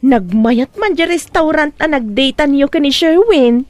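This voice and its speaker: Gossipy gal pal, Ilocano accent, high voice, easy pace, close dry sound. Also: female voice, expressive, a little slow, a little mellow, no room sound. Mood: flattering, amused. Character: flattering, gossipy young girlfriend's confidante. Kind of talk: acted Ilocano comedy audio drama.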